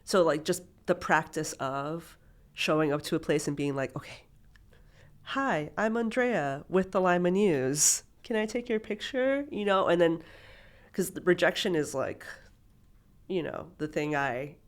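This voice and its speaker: A woman's voice: on the low side, lively intonation, a little slow. A woman in her thirties, born in Peru and raised in Miami, chatting casually as an interview guest, full of fillers and restarts. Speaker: documentary photographer, interview guest.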